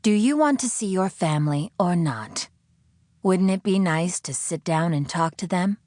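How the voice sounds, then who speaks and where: A preachy, condescending captor, performed by a woman narrator, speaking with slow, sanctimonious deliberation in an audiobook. The voice is dry and close, lifted a little above a low natural register. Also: animated, a little mellow, no room sound. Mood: preachy, coaxing. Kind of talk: audiobook character acting.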